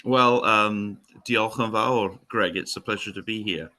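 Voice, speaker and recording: Bright low Welsh man, hesitant. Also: unhurried. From Wales. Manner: hesitant, many fillers and restarts. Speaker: historian guest. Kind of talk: casual interview.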